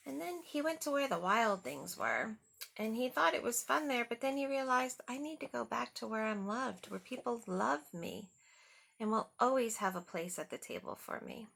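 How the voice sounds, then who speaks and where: A woman's voice: on the high side, vocal fry, a bit bright. A woman reading a picture book aloud to young children, talking simply and adding little questions and asides. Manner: simple, child-directed talk with rhetorical questions. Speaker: storyteller for children.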